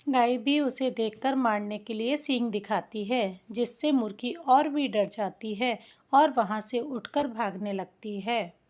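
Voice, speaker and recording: A woman's voice: fairly high pitch, a little slow. A woman narrating a children's folk tale as a scripted story.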